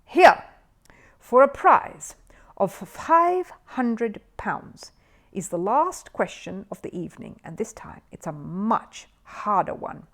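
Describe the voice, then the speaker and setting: A woman's voice: medium pitch, sing-song, takes it slowly, fairly bright tone, full character voice. A woman voicing a pompous, theatrical, easily flustered male quiz-show host in a storybook reading. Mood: showy, solemn.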